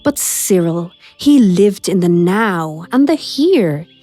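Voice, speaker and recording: A woman reading a rhyming children's picture book aloud as a storyteller, with a dramatic, deliberate delivery. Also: lowish voice, expressive, dark tone, no echo. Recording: music behind the voice. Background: music.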